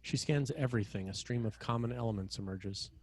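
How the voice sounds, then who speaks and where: A man's voice: mid-range voice, brisk. A man reading scene headings and stage directions as the narrator of a screenplay table read.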